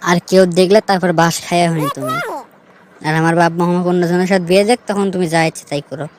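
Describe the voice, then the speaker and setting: Woman doing impatient young cartoon girlfriend, scolding, talks really fast, bit low pitched. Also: steady tone, noisy background, natural voice. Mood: sulky warning. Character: nagging, impatient young girlfriend in secret marriage. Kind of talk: comedy cartoon dialogue.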